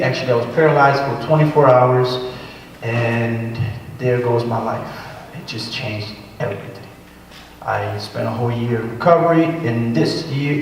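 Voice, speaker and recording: Man speaking in a distant echoey hall. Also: medium pitch. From Puerto Rico. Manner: personal, earnest, walks around while talking. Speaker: guest speaker on workforce development. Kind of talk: informal talk to a training group. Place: large echoey meeting room. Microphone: room mic from a distance. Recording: echoey hall, distant mic, faint noise.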